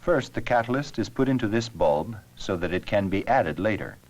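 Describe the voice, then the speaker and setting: Deep-voiced man narrating a science film. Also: animated, normal speed, darkish tone. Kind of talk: scripted educational narration.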